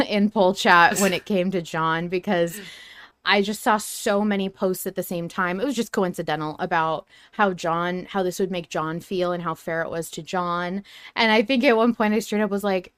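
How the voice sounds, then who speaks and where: A woman's voice: on the low side, normal speed, no echo, studio quality. A woman co-hosting a podcast, talking casually in a blunt, emphatic, opinionated way with some swearing. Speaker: podcast co-host.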